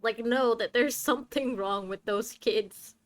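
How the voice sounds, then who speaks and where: A woman's voice: a little high, unhurried. A woman giving casual, chatty live playthrough commentary, playfully talking back to the characters. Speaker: game streamer. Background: faint game music.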